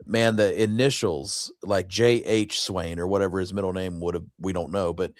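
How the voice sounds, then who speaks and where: A man's voice: sits low, fairly even intonation, moderate pace, no room sound, studio quality. A man hosting a casual talk show, speaking in an informal conversational way. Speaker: show host. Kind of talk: casual chat.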